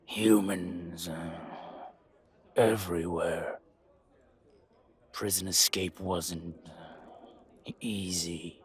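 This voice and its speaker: A man voicing a gruff, weary werewolf who speaks in terse, surly, halting phrases. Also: sits low, steady tone, exaggerated voice. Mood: exhausted.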